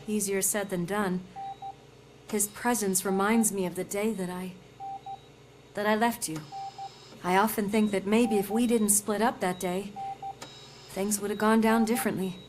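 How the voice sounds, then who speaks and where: A woman's voice: mid-range voice, steady tone, a little slow, long pauses, darkish tone, lightly acted. A woman playing a loyal, concerned police partner in a video game, speaking earnestly and confidingly. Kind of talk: video game cutscene acting.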